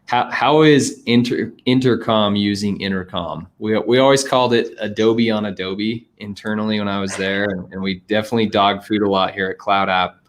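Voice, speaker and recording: A man's voice: lowish voice, fairly even intonation, moderate pace, few pauses. A man in his thirties hosting a casual interview chat over a video call from an indoor room. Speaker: interviewer, host.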